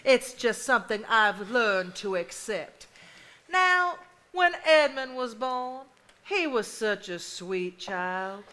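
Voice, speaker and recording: A woman's voice: on the high side, lively intonation, slow pace, sharp tone, heavily acted. A woman playing a prim, reproving Southern sister in a stage drama, genteel and needling. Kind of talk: live stage drama acting.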